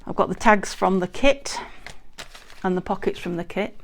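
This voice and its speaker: A woman from the UK hosting a crafting video, chatting casually and rambling a little as she shows her work, her voice a bit croaky. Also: mid-range voice, normal speed. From United Kingdom. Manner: chatty, rambling, self-correcting with frequent ums. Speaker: craft channel host. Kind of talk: casual crafting tutorial chat. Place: indoors at a craft table. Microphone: overhead camera, hands only on table.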